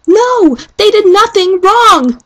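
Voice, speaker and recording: A woman's voice: very high-pitched, animated, takes it slowly, pitched above the performer's own voice, exaggerated voice. A woman voicing a mean, defiant female warrior cat, indignant and protesting, in an amateur audition.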